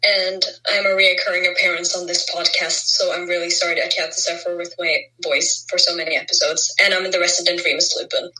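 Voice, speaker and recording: Bright-voiced Norwegian-accented woman. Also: on the low side, flat delivery, a little fast, hardly any pauses, rough recording. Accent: southern Norwegian. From Norway. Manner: chatty, giggly, self-deprecating. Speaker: podcast co-host. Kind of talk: casual podcast chat.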